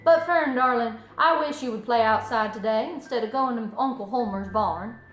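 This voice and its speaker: A woman voicing a stern, worried, sensible farm mother in a storybook, firm and measured. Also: on the high side, natural voice. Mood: gently worried. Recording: roomy echo, music underneath.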